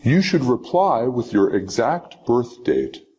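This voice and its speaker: A man reading scripted sample answers for a citizenship interview in a slow, measured, instructive way. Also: mid-range voice, very animated, darkish tone, a little hiss or hum. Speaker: teacher.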